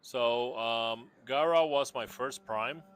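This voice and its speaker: A man's voice: medium pitch, takes it slowly, fairly bright tone, smooth. A man from Puerto Rico casually showing off his game character builds, rambling with lots of fillers and restarts. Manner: rambling, laid-back, many fillers and restarts. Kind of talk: casual game showcase chat.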